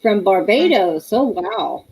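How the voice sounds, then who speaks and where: A woman's voice: medium pitch, animated, slow, darkish tone, breathy edge, rough recording. A woman, hosting a casual livestream, chatty and laughing often as she reads viewer comments aloud. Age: 30s. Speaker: livestream host.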